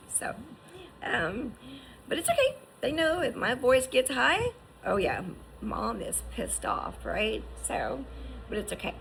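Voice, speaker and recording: A woman's voice: medium pitch, vocal fry, a bit bright, slight background noise, low-quality recording. A woman in her fifties giving an earnest, encouraging personal testimony, often restarting and asking "right?". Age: 50s. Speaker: survivor sharing her story.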